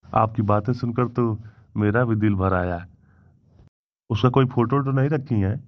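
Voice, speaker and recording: A man playing a self-assured, officious village police inspector in a comedy cartoon, with a brisk, questioning manner. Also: lowish voice, no room sound, close to an everyday voice. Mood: sympathetic. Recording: dull, dry lo-fi audio.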